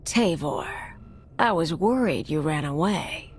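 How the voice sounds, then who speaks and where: A brash female anarchist from a video game, tough and sardonic in a lowish voice. Her phrases are clipped and cynical, over faint background noise. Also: lively intonation, takes it slowly. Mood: cocky, brisk. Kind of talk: video game cutscene acting.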